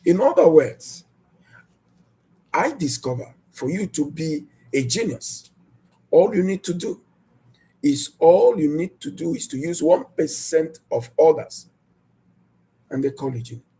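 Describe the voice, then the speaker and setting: A man preaching a sermon emphatically, repeating rhetorical questions and jumping into sudden high bursts of emphasis. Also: big pitch swings, unhurried, long pauses, warm tone, breathy edge, rough recording.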